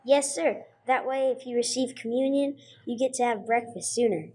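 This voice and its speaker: A woman's voice: on the high side, normal speed, a little mellow, a little hiss or hum, close to an everyday voice. A woman playing an earnest, respectful young altar boy in an amateur radio drama, plain-spoken and a little hesitant. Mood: earnest, unsure. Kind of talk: 1950s radio drama acting, amateur church production.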